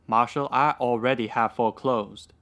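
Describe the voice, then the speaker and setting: Guy doing a stern hard-hearted land grabber from an old radio western, curt, mid pitched. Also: fairly even intonation, normal speed, fairly bright tone, lightly acted. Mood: cold, irritated.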